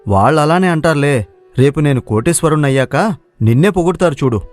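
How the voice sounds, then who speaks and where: A man's voice: on the high side, animated, a little fast, darkish tone, lightly acted. A man playing a lazy, cocky young village daydreamer, brash and impatient, in a moral story. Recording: music playing behind the voice.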